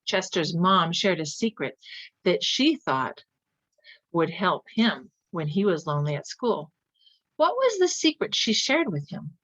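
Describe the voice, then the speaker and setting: A woman's voice: fairly low pitch, big pitch swings, measured pace, a bit bright, dry recording. A woman narrating a children's picture book in a read-aloud style, on a slightly dull recording. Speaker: story reader.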